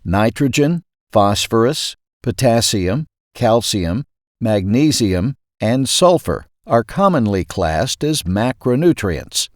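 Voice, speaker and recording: A man's voice: mid-range voice, very animated, takes it slowly, no echo, clean studio sound. A man reading a scripted explanatory article as the host, articulating carefully. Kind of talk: scripted narration.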